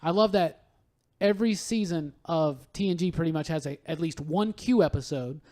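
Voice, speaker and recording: A man with a somewhat high voice co-hosts a casual podcast. He talks with enthusiasm, using loose slang and the odd swear word. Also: moderate pace, dark tone. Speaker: podcast co-host. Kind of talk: casual chat.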